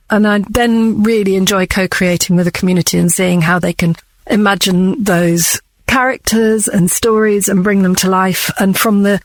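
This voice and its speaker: A woman's voice: mid-range voice, no echo. Female director, dry close interview sound. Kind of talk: conversational interview answers.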